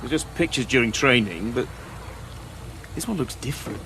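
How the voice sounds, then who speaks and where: An actor playing an anxious, easily startled soldier in a sci-fi audio drama, full of hesitant, alarmed questions. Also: male voice, mid-range voice, animated, moderate pace, background noise, natural voice. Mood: startled, uneasy. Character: anxious, startled young male soldier companion.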